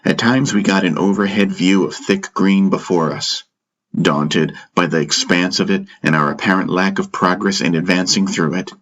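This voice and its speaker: A man's voice: low-pitched, moderate pace, a bit bright. A man reading a scripted first-person story as an audiobook narrator, with music behind him. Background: background music.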